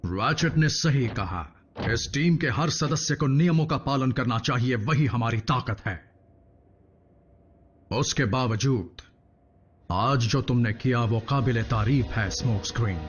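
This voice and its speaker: A man playing a noble, solemn robot team leader in a cartoon dub, speaking in a measured, earnest, commanding way. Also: mid-range voice, a little hiss or hum, lightly acted. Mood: solemn, approving. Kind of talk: Hindi cartoon dub acting.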